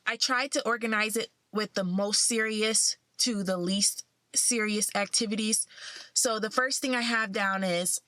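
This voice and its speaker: A woman's voice: on the high side, bright, forceful tone, no echo, poor audio. A young woman who sounds a bit congested, talking in a casual, upbeat and encouraging way to her vlog followers. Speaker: vlogger.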